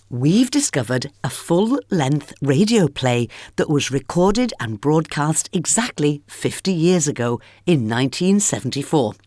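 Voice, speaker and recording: A woman's voice: deep voice, darkish tone, no echo. A woman presenting a radio programme from a script, recorded in a studio. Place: dry studio.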